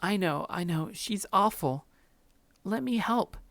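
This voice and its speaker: A man's voice: high voice, animated, slow, vocal fry, a bit bright, no echo, voice pushed up, heavily acted. A man voicing a coaxing, possessively affectionate young woman in a visual novel, cooing and soothing. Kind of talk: visual novel character read-aloud.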